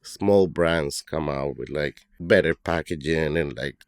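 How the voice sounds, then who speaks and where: Guest, man from Colombia, rambling easy talk, very deep voice, dry sound. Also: unhurried, gravelly, clean tone, no echo. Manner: loose, thinking aloud with many fillers. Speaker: guest, bartender and brand ambassador. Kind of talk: casual interview talk.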